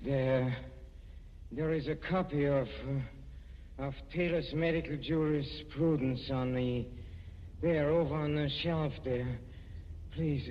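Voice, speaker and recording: An actor playing a coldly menacing, jealous poisoner husband who speaks with deliberate, mocking tenderness in 1940s radio suspense style. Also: male voice, a little high, steady tone. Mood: faltering, anxious. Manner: deliberate, sardonic, mock-affectionate. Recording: muffled, hissy 1940s radio recording, reverberant.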